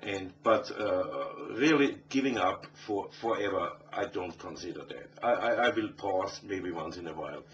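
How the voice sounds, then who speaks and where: A man's voice: sits low, moderate pace, hardly any pauses. A middle-aged man from Austria with an Austrian German accent, a native German speaker answering conversationally as a musician in an interview. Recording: dull, distant, faintly noisy interview audio.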